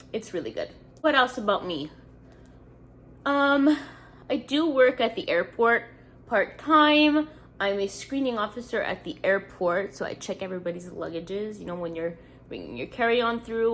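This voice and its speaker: A woman's voice: medium pitch, a bit bright. Chatty, congested young woman. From Montreal, Canada. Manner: chatty, rambling, frequent "like" fillers. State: congested, sniffling. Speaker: beauty vlogger. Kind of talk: casual get-ready-with-me chat.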